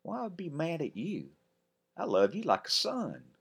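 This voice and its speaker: A man's voice: a little high, wildly swooping pitch, unhurried, husky, voice pushed up, full character voice. A man playing a prim young southern schoolteacher. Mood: tender. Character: young southern woman schoolteacher, brisk and proper.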